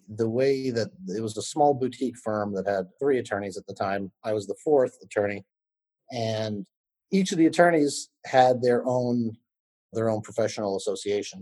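A man in his thirties, an attorney giving a podcast interview, answering in a measured way with fillers and restarts. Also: mid-range voice, warm tone, husky.

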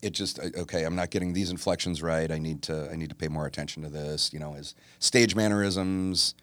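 A man in his forties, a tribute singer with a deep voice, talking as a guest in a studio in a relaxed, rambling way with many fillers. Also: brisk, dry recording. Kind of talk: casual interview chat.

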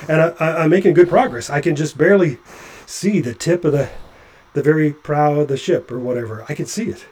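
An actor playing a nervous, chatty male doctor climbing along a ship's hull, halting with stammering restarts. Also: on the high side, a little mellow, close to an everyday voice. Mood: anxious. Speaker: physician on a spacewalk. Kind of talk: audio drama acting.

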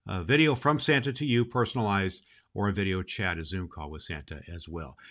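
Man playing bright, kindly old Santa Claus. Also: medium pitch. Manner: emphatic, affectionate, addressing boys and girls. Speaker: storybook reader and show host. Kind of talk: children's story reading and hosting.

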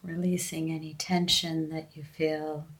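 A woman leading a guided breathing meditation, giving gentle instructions with long pauses. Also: low-pitched, level tone.

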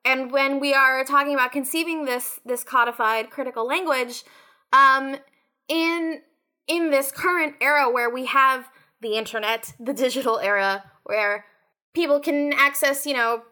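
A woman on a discussion panel, making her point in deliberate, halting phrases. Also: sits high, sharp tone. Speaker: roundtable panelist. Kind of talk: thoughtful discussion.

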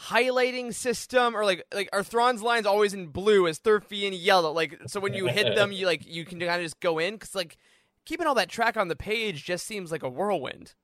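A male podcast host with a high-pitched voice and a very dry, close sound. He chats casually and keenly, in a bright, forward tone. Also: normal speed, dry recording. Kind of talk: casual interview chat.

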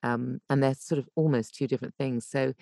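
A woman's voice: a bass voice, fairly even intonation, fairly quick, gravelly, mellow, no echo, studio quality. A woman giving explanatory advice as a guest voice coach in a podcast interview, thinking aloud and rephrasing as she goes.

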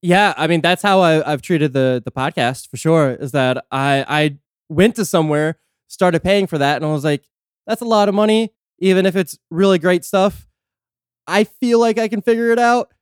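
Crisp, dry-voiced male podcast host. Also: sits high, moderate pace, no room sound. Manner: joking, laid-back, swears freely. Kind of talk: casual podcast interview.

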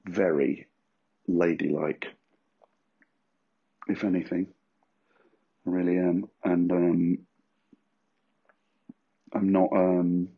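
Husky, gravelly low-voiced man. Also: warm tone. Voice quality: husky, rough voice. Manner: crude, self-mocking humour. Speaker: podcast host. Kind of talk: rambling, jokey solo podcast chat. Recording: dull lo-fi recording.

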